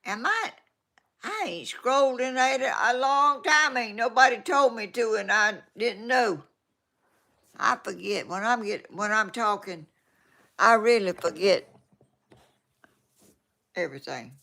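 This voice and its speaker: Slow gravelly Georgia grandma in her eighties. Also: on the high side, animated, sharp tone. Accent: Southern US, Georgia. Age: 80s. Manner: slow rambling, trails off and restarts. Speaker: vlogger reading viewer comments. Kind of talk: casual rambling chat.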